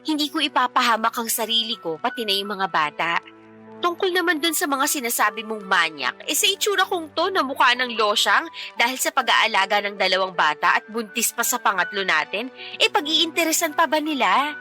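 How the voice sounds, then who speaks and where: A woman's voice: on the high side, sing-song, fairly quick, sharp tone, soft background music. A woman playing a tired, pregnant young mother who feels insecure in a radio drama, speaking emotionally and expressively. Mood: pleading. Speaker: wife character in a radio drama.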